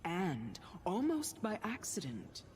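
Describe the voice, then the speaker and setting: A woman voicing a mysterious, wry storyteller in a fantasy game, narrating a fable in a deliberate, hushed way. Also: fairly low pitch, wildly swooping pitch, noisy background, exaggerated voice.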